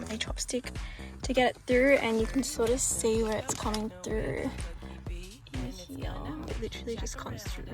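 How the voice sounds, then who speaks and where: A woman giving casual, informal how-to narration for a DIY vlog, with slangy, unpolished wording. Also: a little high. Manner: informal, learning as she goes, slangy. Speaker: vlogger showing a DIY car install.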